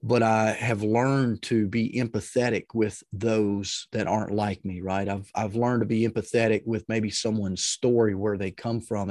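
Energetic man with a deep, loud voice. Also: no room sound, studio quality. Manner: energetic, emphatic, repeats phrases for stress. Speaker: podcast guest, leadership coach. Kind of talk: explanatory interview talk with advice and personal stories.

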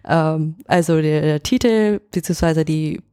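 A woman's voice: deep voice, a little slow, dark tone, clear, resonant tone, dry recording, studio quality. A woman in her twenties from Vietnam, speaking German, introducing herself hesitantly with many fillers. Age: 20s. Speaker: guest, editor.

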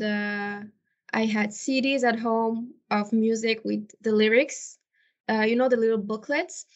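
Female interview guest from Morocco, non-native English, reflective and articulate, slightly dull lo-fi sound. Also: on the high side. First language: not English. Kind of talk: conversational interview answers. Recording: dull, lo-fi audio.

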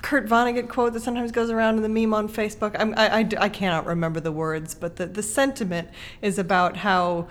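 A woman's voice: medium pitch, steady tone, fast, hardly any pauses, slight background noise. A woman in conversation, explaining her ideas thoughtfully like a teacher, building points in short clauses. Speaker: podcast guest host, creative writing teacher.

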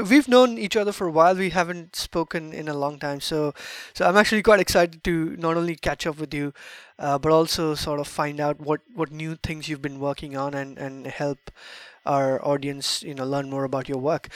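A man hosting a podcast interview, asking questions in a halting way with many restarts. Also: on the high side, steady tone, a bit bright, no room sound. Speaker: podcast host. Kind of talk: casual interview.

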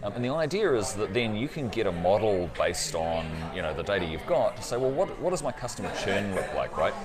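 Deep-voiced man explaining tech, crowd behind. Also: big pitch swings, brisk. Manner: explanatory, tags sentences with "right?". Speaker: cloud company partner guest. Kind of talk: conference interview. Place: busy conference floor. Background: crowd chatter.

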